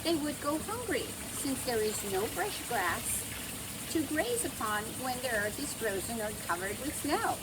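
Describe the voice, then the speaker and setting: A wise, loving grandmother from a children's storybook, as voiced by a woman reader. She explains tenderly and patiently at a slow pace. The recording has clear noise. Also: high-pitched, big pitch swings.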